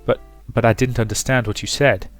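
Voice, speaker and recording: A man's voice: medium pitch, fairly even intonation, fairly quick, no echo, soft background music, natural voice. A man voicing a steadfast, devoted young fiancé in a visual novel, earnest and insistent. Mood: bewildered. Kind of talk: visual novel character reading.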